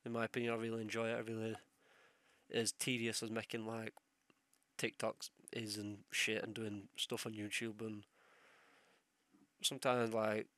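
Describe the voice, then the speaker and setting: Young male podcast host, ill with a sore throat, casual sweary ramble, low and monotone. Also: moderate pace, no echo. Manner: rambling, sweary, loses train of thought. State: sick, sore throat, sniffly.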